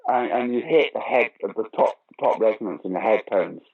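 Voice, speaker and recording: A man's voice: lowish voice, brisk, husky, narrow, tinny audio. An older man telling theatre anecdotes in an interview, with a theatrical, emphatic manner. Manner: theatrical, emphatic storyteller who acts out remarks. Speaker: interview guest, pantomime actor and former opera singer. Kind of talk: animated anecdotal interview talk.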